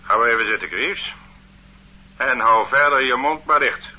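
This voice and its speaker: An actor playing a stern, condescending police officer who lectures a detainee in an authoritarian way in a radio drama. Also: male voice, medium pitch, normal speed, slightly rough, close to an everyday voice. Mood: curt, commanding. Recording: muffled old radio play recording.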